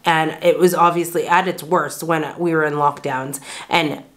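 A woman's voice: deep voice, steady tone, moderate pace, fairly bright tone. An American woman in her thirties talking candidly to camera in a vlog, earnest and reflective, with frequent "like" fillers and restarts. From United States. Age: 30s. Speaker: vlogger. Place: bright small bedroom indoors. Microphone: camera framed chest-up at desk distance.

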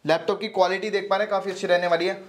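एक युवा आदमी की तेज़ और ऊँची आवाज़, जो एक लैपटॉप दुकान के अंदर से व्लॉग होस्ट कर रहा है। वह दर्शकों से सीधे और जोश के साथ बात करता है। उसका अंदाज़ बेतकल्लुफ़ है, जैसे किसी कैज़ुअल व्लॉग में होता है।. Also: male voice, high-pitched, flat delivery, very fast. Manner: upbeat, talks straight to viewers as "guys". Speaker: vlog host. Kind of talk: casual promotional vlog.

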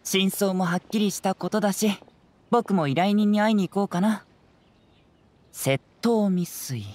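Calm, clever young detective boy played by a woman. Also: mid-range voice, measured pace, natural voice. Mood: breezy, matter-of-fact. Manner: easygoing, confident deduction. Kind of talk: Japanese anime game voice acting.

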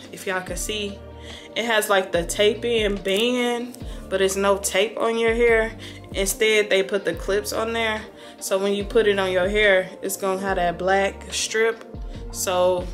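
An American woman in her thirties chatting casually in a rambling, conversational way, as a vlogger talking to a camera in a room at home. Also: medium pitch. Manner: conversational, rambling, thinking aloud. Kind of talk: casual chatty unboxing vlog. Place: small room indoors at home.

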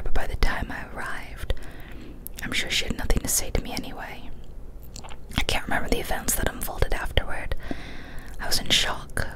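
A young woman narrates a scary story in a hushed ASMR whisper. Her delivery is breathy and unvoiced throughout, with slow, quiet phrasing. She speaks very close to a studio microphone in a small indoor room. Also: poor audio. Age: young adult. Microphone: large studio mic with foam cover, very close.

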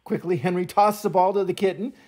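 An older man reading a children's picture book aloud as an expressive storyteller. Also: sits high, brisk. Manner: expressive, acts out sound effects and voices.

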